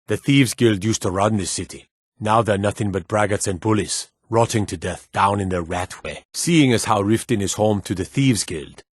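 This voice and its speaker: A man's voice: low voice, breathy edge, no room sound, clean studio sound. An actor playing a wary, plainspoken male city guard in a fantasy video game, with a stern, folksy way of talking. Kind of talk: scripted fantasy game dialogue.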